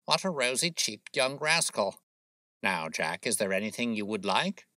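A man voicing a shrewd, smooth-talking gentleman detective, suave and probing, in an audiobook story. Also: fairly low pitch, sing-song, normal speed, no room sound, clean studio sound. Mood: genial, coaxing.

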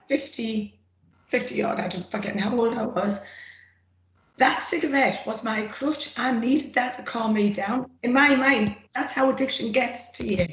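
A middle-aged woman with a rough, gravelly throat, giving an earnest, plain-spoken personal testimony from her notes on a video call. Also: on the high side, fairly bright tone. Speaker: former smoker speaking at a roundtable. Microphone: computer mic on a video call. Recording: echoey room, faint noise.

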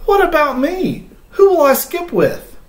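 An anxious, needy storybook elephant, acted out by a man, swinging widely in pitch. He pleads theatrically and draws things out for a best friend. The room adds a noticeable echo. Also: huge pitch swings, heavily acted. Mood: distraught pleading. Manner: dramatic, pleading, drawn-out repeated questions. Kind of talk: animated children's storybook read-aloud. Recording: roomy indoor echo.

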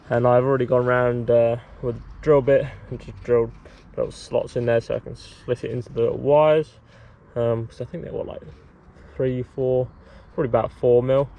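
A young man casually explaining a DIY build outdoors, talking to a handheld camera in a relaxed, rambling way. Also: medium pitch, creaky, a little hiss or hum. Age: young adult. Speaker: sailing vlogger. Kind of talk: casual how-to vlog. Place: outdoors in a garden, later in a shed. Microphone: handheld camera at arm's length.

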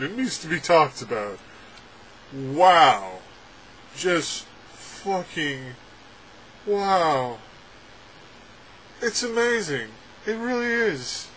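A young adult man reviewing a film from a room at home, talking sarcastically with animated, exaggerated outbursts. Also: on the high side, a little hiss or hum, poor audio. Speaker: movie reviewer. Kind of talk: casual ranting film review. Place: home room with packed shelves, indoors. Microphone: webcam framing at desk.

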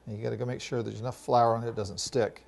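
A man's voice: medium pitch, flat delivery, fast talker, creaky, a little mellow, slight background noise. A middle-aged man, a chef, patiently walking children through a recipe in a home kitchen. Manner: patient, instructive, coaching kids. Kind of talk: cooking demonstration.

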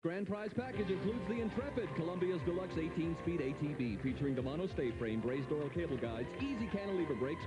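An upbeat male announcer reading out prize packages in polished voice-over style. His voice sits fairly high, and loud show music runs beneath the read. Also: rough recording. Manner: smooth upbeat announcer delivery.

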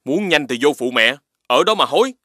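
A woman voicing a stern, nagging animal mother in a Vietnamese children's cartoon, brisk and scolding. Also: deep voice, lively intonation, background noise. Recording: echoey with music behind.

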